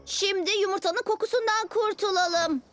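A woman's voice: very high voice, steady tone, normal speed. A woman voicing a caring six-year-old superhero in a cartoon, with an earnest, urgent way of talking. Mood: determined. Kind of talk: cartoon dub acting. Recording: music behind the voice.

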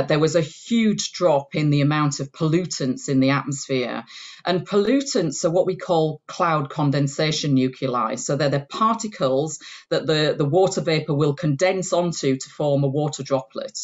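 A woman in her forties answers as a guest expert on a video call. She explains clearly, in a steady flow. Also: deep voice. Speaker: chief executive of a science society, guest expert. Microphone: earphone headset on a video call. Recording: dull lo-fi video-call audio.

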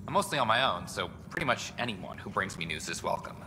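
Tired eager reporter, quick, reverberant, steady ambient hum. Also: male voice, on the low side. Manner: eager, fast-talking, a little weary. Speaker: news reporter. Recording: long reverb, game ambience behind.

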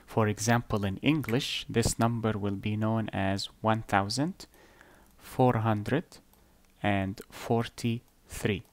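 A man whose first language is Arabic, explaining number systems slowly and deliberately over an on-screen drawing, with blunt asides. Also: lowish voice, steady tone. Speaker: math teacher. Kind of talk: screen-recorded whiteboard lesson.

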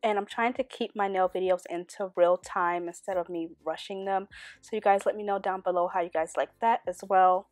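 A woman's voice: mid-range voice, fairly even intonation, a little fast, dry recording, faint music behind. A young woman recording a chatty nail tutorial voice-over, talking straight to her viewers and sounding a bit nasal from a cold. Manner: chatty, enthusiastic, addresses viewers as you guys. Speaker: beauty vlogger.

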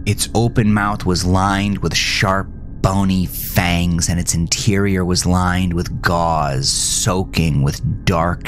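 A man narrating a scripted first-person horror story in a brooding, deliberate, dramatic manner. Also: deep voice, gravelly. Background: music.

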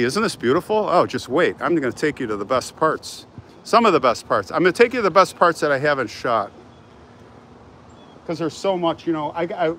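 An older American man hosts a casual live stream, chatting as he walks and answering viewers. His voice sits a little high and has a bright, forceful edge. He is recorded outdoors on a handheld phone, with faint urban noise around him. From United States. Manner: chatty, jumping between remarks while walking. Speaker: vlog host. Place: outdoors in a large open cemetery. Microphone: handheld phone at arm's length. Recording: noisy outdoor urban ambience.

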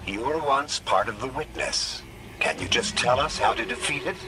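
An actor playing an intense, blunt guide in a video game, with an urgent, challenging way of talking. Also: male voice, medium pitch, lively intonation, moderate pace, background noise, lightly acted. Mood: probing. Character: male guide tracking his commander, intense, defiant, blunt.

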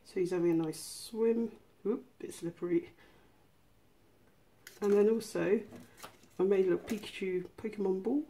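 A woman's voice: mid-range voice, normal speed, mellow, slight background noise. A woman hosting a casual home cooking tutorial, explaining each step in an informal, chatty way. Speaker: host of a miniature cooking channel.

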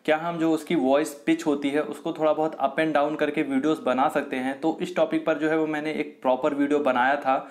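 A man's voice: a little high, little pitch movement, a little fast, few pauses. A man, explaining things to viewers as a tech video host in a chatty, repetitive way. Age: 20s. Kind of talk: explainer to camera. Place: small room indoors.

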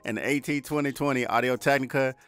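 A middle-aged man with a deep baritone voice, chatting casually to viewers while reviewing studio gear from his home studio. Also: fairly even intonation, fast, a bit bright. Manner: laid-back, chatty, addresses viewers directly. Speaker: music producer reviewing gear. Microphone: large studio condenser mic on boom, headphones on.

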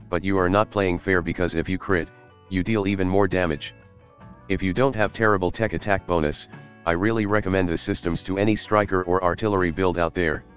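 Male reviewer, very low voice, relaxed scripted delivery with the odd curse, faint music bed. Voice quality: casual, swears for emphasis. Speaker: YouTube game reviewer. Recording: light guitar music behind.

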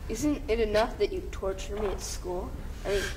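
Young guy reading a whiny nerdy kid, a bit high, far from the mic with hiss. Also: moderate pace, close to an everyday voice. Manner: whiny, hesitant. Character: timid, nerdy young teen boy Sam. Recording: distant, hissy room recording.

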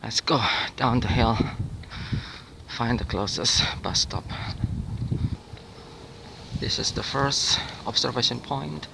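A man casually narrating his walk along an outdoor trail, chatty and relaxed, recorded on a handheld phone. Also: mid-range voice, slow pace, creaky, long pauses, background noise. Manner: relaxed, chatty, pointing things out. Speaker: vlogger. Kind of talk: casual walking commentary. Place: outdoors on a hillside city wall trail. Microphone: handheld phone camera.

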